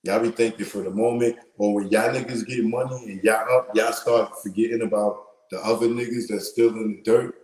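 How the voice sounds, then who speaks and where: A middle-aged man with a big, lowish voice talks loosely and animatedly, full of slang, as he hosts his live commentary show. He speaks into an earphone mic, and the small room around him rings with echo. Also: fairly even intonation, brisk, a little mellow. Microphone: wired earphone mic. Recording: echoey room.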